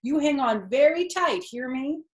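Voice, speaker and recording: A fussing farm mother from a children's storybook, read out by a woman. She pitches her voice high and sounds insistent and cautioning. The room around her is echoey. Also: expressive, measured pace. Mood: anxious, insistent.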